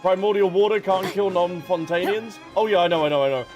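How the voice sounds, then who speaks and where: A man's voice: high-pitched, fairly quick, muffled recording. A man giving casual, chatty livestream commentary over a video game, with jokey asides. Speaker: game streamer. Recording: game music behind voice.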